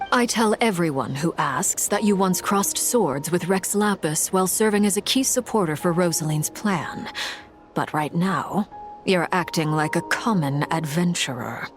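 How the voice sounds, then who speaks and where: Woman voicing low-pitched, composed female commander. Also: natural voice. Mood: dryly disapproving. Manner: formal, measured, dry, deadpan. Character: cool, composed, dryly condescending female Harbinger commander. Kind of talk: English video game voice acting. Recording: game music behind the voice.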